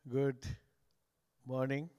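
A man's voice: medium pitch, level tone, drawn-out words, mellow, clear, resonant tone, big-room sound, lo-fi sound. An older man from Sri Lanka, speaking English as a second language, preaching as a guest pastor in a joking, conversational way. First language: not English. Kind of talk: sermon.

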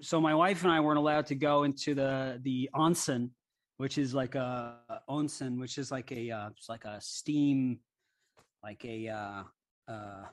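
A man's voice: mid-range voice, fairly even intonation, a little slow, slight background noise. A man who grew up in Hawaii, chatting casually and telling rambling anecdotes with many restarts. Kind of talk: casual chat.

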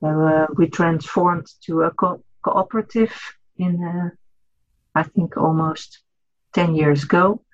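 A woman's voice: fairly low pitch, fairly even intonation, slow. Hesitant Dutch woman in her fifties. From Netherlands. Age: 50s. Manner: hesitant, many fillers and restarts. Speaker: guest, cooperative chairwoman. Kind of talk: podcast interview. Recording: dull lo-fi audio.

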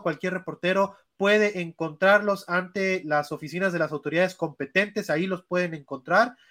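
A man co-hosting a football podcast, explaining points in a measured, formal way. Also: high voice, sharp tone. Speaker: podcast co-host. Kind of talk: sports podcast discussion.

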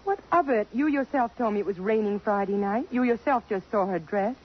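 Woman playing a husky, proud, guarded young woman. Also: medium pitch, a little fast, lightly acted. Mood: defiant. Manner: terse, defiant. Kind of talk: 1950s radio drama acting. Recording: muffled 1950s radio broadcast.

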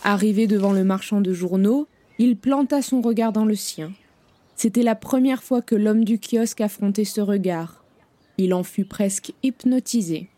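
Mellow woman narrating gravely. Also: medium pitch, dry recording. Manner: measured, grave storytelling. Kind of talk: scripted narration of an audio drama.

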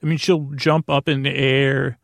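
A man hosting a bedtime podcast in a casual, rambling monologue, halting and drifting off into tangents, with a creaky voice. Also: medium pitch, flat delivery, no echo, studio quality. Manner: meandering and halting, trails off into tangents. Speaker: podcast host.

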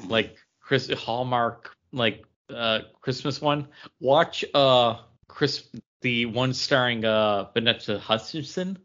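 A man's voice: medium pitch, slow, fairly bright tone. A man co-hosting a podcast, chatting casually as he plays a trivia game. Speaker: podcast co-host. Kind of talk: casual chat during a pub trivia game. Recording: dull, band-limited lo-fi audio.

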